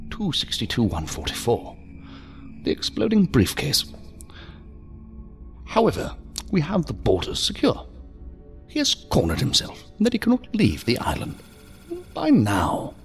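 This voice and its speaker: Theatrical, silky, pompous ambassador. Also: male voice, mid-range voice, creaky. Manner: pompous, ornate, mockingly courteous, deliberate phrasing. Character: theatrical, silky, condescending ambassador of a secret power. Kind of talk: science fiction audio drama acting.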